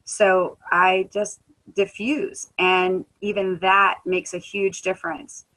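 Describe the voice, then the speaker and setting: A woman who sings in a band, giving a conversational personal testimony at a wellness talk, earnest, with many filler pauses. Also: mid-range voice, level tone, fairly bright tone, poor audio. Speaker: guest speaker, musician.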